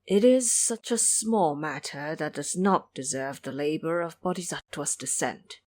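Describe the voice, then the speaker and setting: A woman's voice: low-pitched, unhurried, darkish tone, pitched below the performer's own voice. A woman voicing a humble male Taoist immortal master in an audio drama, speaking with formal deference.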